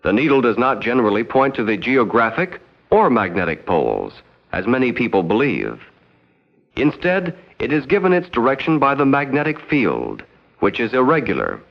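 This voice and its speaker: An American man narrating a 1960s educational film in a formal, measured, no-nonsense way. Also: mid-range voice, wildly swooping pitch, gravelly. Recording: dull 1960s 16mm film soundtrack.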